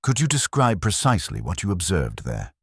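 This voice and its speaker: A man voices a calm, watchful police inspector in a narrated mystery. The voice is very deep and dry, polite yet firm, with formal wording. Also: fairly quick, breathy edge, dry recording, heavily acted. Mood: courteous, mild. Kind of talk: acted dialogue in a narrated mystery story.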